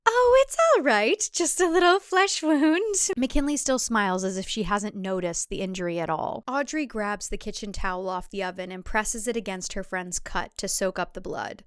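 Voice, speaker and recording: A woman's voice: mid-range voice, normal speed, few pauses, clean tone, no room sound, clean studio sound. A woman reading scripted thriller fiction as a dramatic storyteller. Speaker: author and narrator.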